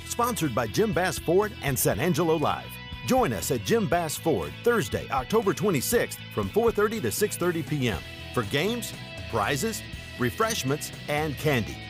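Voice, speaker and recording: A man reading an upbeat radio commercial in a lively, promotional way. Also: fairly high pitch. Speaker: commercial announcer. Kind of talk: upbeat ad read. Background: guitar music.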